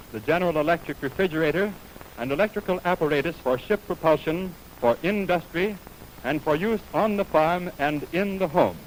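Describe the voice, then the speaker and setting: A man reading a scripted announcement as a 1920s radio announcer, in a formal, measured, carefully enunciated manner. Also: fairly high pitch. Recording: noisy 1929 radio aircheck on film.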